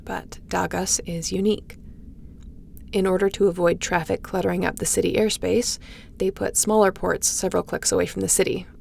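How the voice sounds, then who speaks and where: A woman's voice: fairly low pitch, gravelly, a little mellow, a little hiss or hum. A woman playing a guarded, wry starship captain and ace pilot in an intimate audio roleplay, with a sardonic, conversational way of talking. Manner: sardonic, conversational, sighing and teasing.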